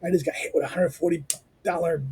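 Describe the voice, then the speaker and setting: A hoarse man hosting a lecture channel, rambling through his outro with lots of fillers, restarts and asides. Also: steady tone, quick pace, a little mellow. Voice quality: squeaky. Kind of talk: informal spoken outro to a reading lecture.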